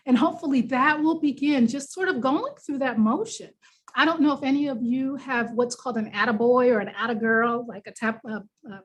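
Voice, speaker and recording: A woman's voice: fairly high pitch, breathy edge. A woman with a New York accent presenting a workshop in a conversational, encouraging, explanatory way, leaning on key words for emphasis. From New York, United States. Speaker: workshop presenter, business coach.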